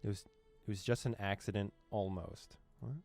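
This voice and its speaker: A man's voice: fairly low pitch, flat delivery, moderate pace, darkish tone, soft background music. A young man reading a visual novel aloud and translating its lines, with playful jokes and frequent laughter. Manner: playful, joking, laughs often. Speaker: gaming YouTuber.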